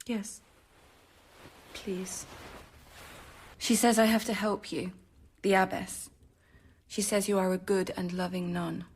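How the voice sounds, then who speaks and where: A defiant noble girl of sixteen, stuck in a convent in a radio drama. The voice is medium in pitch with a breathy, husky edge. She is sullen, bitter and sharp. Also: little pitch movement, slow pace, pauses often. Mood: guarded, probing.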